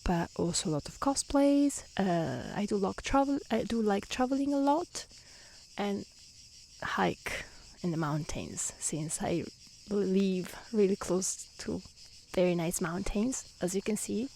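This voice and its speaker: Soft spoken italian lady doing calm asmr, gentle mellow voice, non-native, outside with faint crickets. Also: mid-range voice, measured pace, creaky, a little hiss or hum, rough recording. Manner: calm, careful delivery in non-native speech. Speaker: streamer and storyteller. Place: outdoors in nature near mountains.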